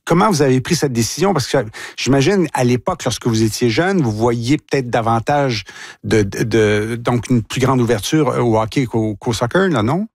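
A man's voice: medium pitch, moderate pace, no room sound, clean studio sound. A man with a Québécois French accent hosting a radio interview, asking long, rambling questions. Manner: asks long questions with restarts. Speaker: radio host.

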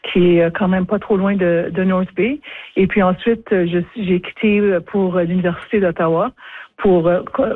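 A woman, answering interview questions slowly with many pauses and restarts over the phone. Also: lowish voice. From northern Ontario. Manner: hesitant, many fillers and restarts. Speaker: interview guest, former chief justice. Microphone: telephone. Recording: tinny phone line.

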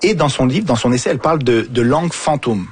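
A man's voice: medium pitch, fast, husky, no echo. A man hosting a radio panel, chatting casually and polling his guests around the table. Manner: relaxed, asks guests around the table, frequent "ouais". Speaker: radio show host.

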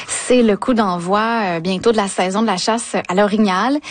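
A woman hosting a radio interview, brisk and conversational. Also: mid-range voice. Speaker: radio host.

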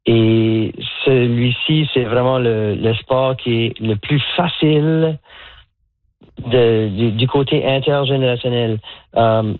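A man's voice: medium pitch, steady tone, slow pace, dry recording. A man in his sixties from Moncton, talking as a guest in a radio phone interview, hesitant, with many fillers and restarts. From Moncton, Canada. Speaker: guest, pickleball coach. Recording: tinny dry phone line.

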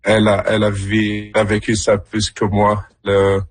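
A man, a guest in an interview, talking haltingly with many fillers. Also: on the low side, monotone, husky, dry recording. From Morocco. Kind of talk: radio interview.

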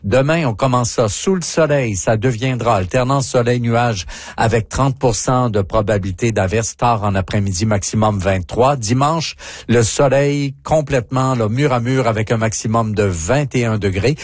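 A man's voice: mid-range voice, moderate pace, no room sound. A man with a Canadian French accent giving a live, partly ad-libbed traffic and weather report on the radio.

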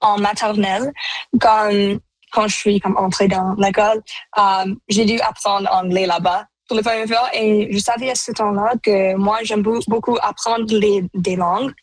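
A woman's voice: mid-range voice, fairly even intonation, moderate pace, no room sound, low-quality recording. Punjabi-native young woman guest, dry. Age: 20s. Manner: thoughtful, hesitant with fillers. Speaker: university student guest.

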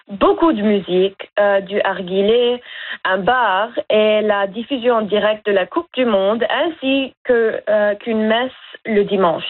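A young woman from Lebanon calls in as a radio guest, speaking earnestly with frequent hesitations. Her mid-pitched voice comes through a tinny, narrow phone line. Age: young adult. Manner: earnest, hesitant with many fillers. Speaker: guest, veterinary student.

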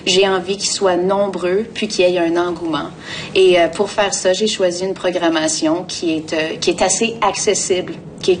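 A woman with a Canadian French accent gives conversational interview answers in a fairly low voice. She halts and repeats words as she searches. The mic is some way off and a faint rumble sits underneath. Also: distant mic, rough recording. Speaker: theatre artistic director, interview guest.

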